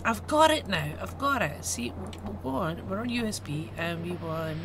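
A woman's voice: mid-range voice, lively intonation, normal speed, background noise. A woman streaming a game live, muttering commands aloud and swearing at it. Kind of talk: live gameplay commentary.

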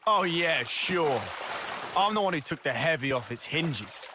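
A man's voice: a little high, animated, unhurried, background noise. A man playing a boastful young soldier in a video game, with an informal, swaggering way of talking. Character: cocky, boastful young male soldier, quick to bicker. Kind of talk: video game cutscene acting.

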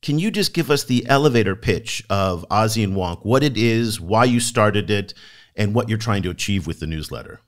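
A man's voice: lowish voice, lively intonation, moderate pace. A man hosting a podcast on world affairs, introducing a guest with enthusiasm and asking interview questions. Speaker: podcast host.

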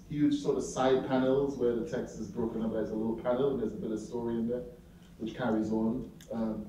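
A man's voice: mid-range voice, mellow, far from the mic, slight background noise. A middle-aged man from Trinidad, a poet and novelist answering audience questions in a seminar room. He speaks into a handheld mic and talks in a reflective way, searching for words and often saying "you know". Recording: echoey room picked up from afar, slightly dull.

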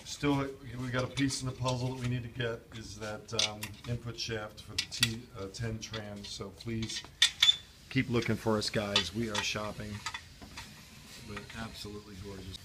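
A middle-aged man with a hoarse, fading voice, giving an enthusiastic, chatty update on a car project in his workshop, filmed on a handheld phone. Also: on the low side, little pitch movement, moderate pace, heavy background noise. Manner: enthusiastic, chatty, addresses viewers as guys. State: losing his voice. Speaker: restoration shop owner, vlog host. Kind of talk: casual shop-floor video update. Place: indoor car restoration workshop. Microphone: handheld phone camera.

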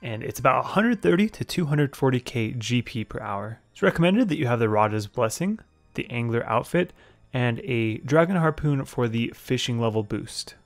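A man's voice: mid-range voice, expressive, moderate pace. A young man narrating a video game tutorial in a plain, matter-of-fact way, with the odd "uh". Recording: faint background music.

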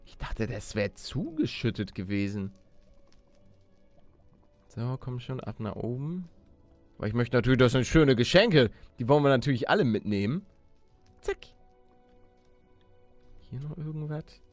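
A man's voice: medium pitch, expressive, unhurried, long pauses, no room sound, soft background music. A man hosting a Let's Play, thinking aloud casually in slang peppered with English gaming words. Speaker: gaming video host.

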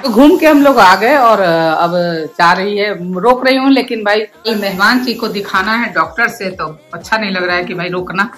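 A woman's voice: mid-range voice, normal speed, hardly any pauses, a bit bright, soft background music. A retired woman in her sixties with a chatty manner, vlogging casually to viewers about family life and an upcoming trip, recorded on a handheld phone. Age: 60s. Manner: chatty, talks to viewers and the grandchild. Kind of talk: casual family vlog narration. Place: home and shopping mall, indoors. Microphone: phone held at arm's length.

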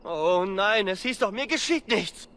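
High-pitched, frightened fugitive. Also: male voice, lively intonation, measured pace, a bit bright, heavily acted. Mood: pleading. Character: frightened, pleading male fugitive, whiny and desperate. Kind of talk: video game voice acting.

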